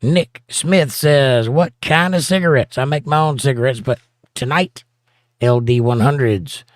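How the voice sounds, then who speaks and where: A man's voice: mid-range voice, a little slow, no room sound, studio quality. A man with a Southern accent hosting a livestream, reading viewer comments in a casual, teasing way and chuckling often. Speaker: livestream host reading chat. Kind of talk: casual chat.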